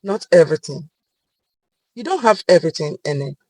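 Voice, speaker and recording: A woman's voice: sits low, lively intonation, moderate pace, warm tone, no room sound. Woman as a worried, caring best friend. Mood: concerned. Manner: earnest, cautioning. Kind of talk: dramatic storybook dialogue.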